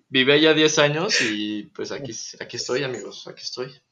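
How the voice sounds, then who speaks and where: A man's voice: medium pitch, moderate pace, bright, forceful tone. A young adult man from the United States co-hosting a casual podcast, talking straight to listeners with eager recommendations. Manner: addresses listeners directly, enthusiastic recommendations. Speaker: podcast co-host. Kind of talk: casual chat. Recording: dull lo-fi sound, faint noise.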